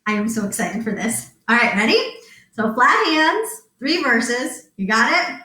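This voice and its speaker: Female children's librarian, story time host. Bright, slow-paced, enthusiastic and encouraging, in a roomy space. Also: a little high, big pitch swings. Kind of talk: children's story time, interactive talk and singalong.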